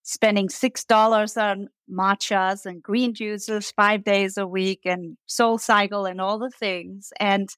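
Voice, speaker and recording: A woman's voice: medium pitch, fairly even intonation, slow, few pauses, a bit bright, no room sound. A woman from Denmark with a Danish accent, a company founder talking earnestly as a podcast guest and often repeating words as she starts a thought. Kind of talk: casual interview.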